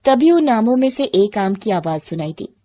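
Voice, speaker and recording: A woman with a fairly high voice narrates a bedtime story. Her delivery is scripted storytelling, as a narrator of moral tales. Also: big pitch swings, moderate pace, warm tone.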